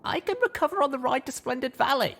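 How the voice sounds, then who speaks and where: A man puts on a small, stubborn young mare from a fantasy audiobook. The voice is raised far up in pitch and sounds strained and weary. The delivery is earnest. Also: lively intonation, quick pace, fairly bright tone, full character voice. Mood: weary, insistent.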